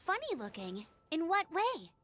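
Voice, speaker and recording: High-voiced eager young scout. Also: female voice, wildly swooping pitch, fairly bright tone, faint music behind, exaggerated voice. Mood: inquisitive. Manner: brisk, determined, upbeat. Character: young, keen, earnest female knight scout. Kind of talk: English video game voice acting.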